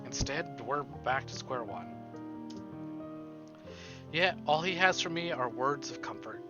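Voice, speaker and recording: A man's voice: mid-range voice, animated, takes it slowly, lo-fi sound. A man streaming a visual novel, reading the story aloud as dramatic narration. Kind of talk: dramatic read-aloud narration with casual asides. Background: soft game music.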